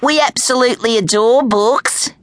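A woman's voice: on the high side, moderate pace, no echo, voice pushed up, natural voice. A woman voicing sly, falsely sweet young pig troublemakers in a children's storybook.

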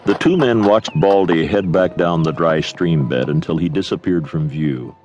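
Low-voiced man narrating a western, music behind. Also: normal speed, a little mellow, dull audio. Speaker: narrator of a western audio drama. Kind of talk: scripted dramatic narration.

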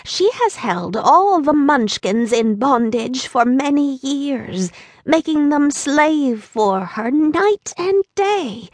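A woman voicing a sweet, calm little old woman. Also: sits high, no echo, voice pushed up, full character voice. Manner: slow, courteous, calm. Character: tiny, kindly old woman, calm and gentle.

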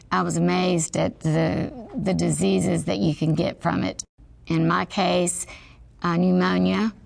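A woman with a raspy voice telling her own story as a patient, in a halting, reflective way with pauses mid-sentence. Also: on the low side, no room sound. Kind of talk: personal testimony.

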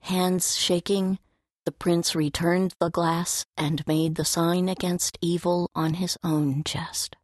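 Lady narrating an audiobook, unhurried, kinda low voice, very dry studio sound. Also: level tone, a little mellow, dry recording. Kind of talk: scripted storytelling narration.

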